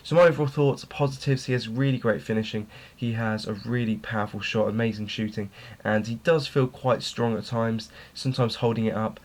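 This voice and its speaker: A man's voice: mid-range voice, fairly even intonation, fairly quick. A young man reviewing a video game player card in an informal way, his voice slightly worn as he is losing it. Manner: informal, repeats words for emphasis. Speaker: gaming video host.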